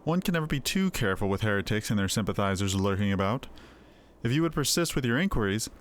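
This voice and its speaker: A man's voice: fairly low pitch, lively intonation, quick pace, close to an everyday voice. A man voicing a guarded, officious astrologer in a fantasy game, formal and fussy, wary of outsiders. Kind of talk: acted fantasy game dialogue. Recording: music behind the voice.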